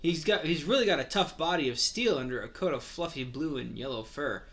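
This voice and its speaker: A man's voice: fairly high pitch, lively intonation, a little fast, fairly bright tone. A man reading a story aloud as a co-host, slipping in casual asides.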